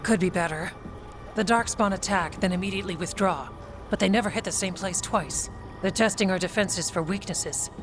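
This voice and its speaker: An actress playing a frank, weary warrior officer in a video game, speaking in a plain, businesslike way. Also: female voice, mid-range voice, normal speed, lightly acted. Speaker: Warden officer giving battle briefing. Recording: music behind the voice.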